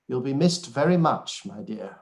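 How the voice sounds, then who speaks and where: A man plays an elderly gentleman father in a radio play. He is dry and teasing, with a bookish wit and a quiet fondness, in a mid-pitched voice. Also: expressive, moderate pace, lightly acted. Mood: fond, wry. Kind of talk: radio play live read.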